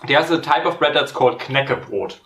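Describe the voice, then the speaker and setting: A man's voice: mid-range voice, brisk, far from the mic. A young adult man with a German accent, chatting casually while tasting snacks and giving frank ratings. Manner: relaxed, reflective, gives frank ratings. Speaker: host. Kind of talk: casual food tasting chat. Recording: echoey room, voice a bit off-mic.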